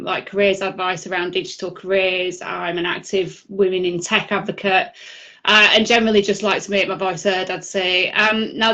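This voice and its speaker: A woman's voice: mid-range voice, fairly bright tone. A woman with a Yorkshire accent presenting at an online user group, chatty and full of fillers. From Yorkshire, England. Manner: chatty, filler-heavy delivery. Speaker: conference speaker. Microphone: video call. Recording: dull lo-fi video call audio.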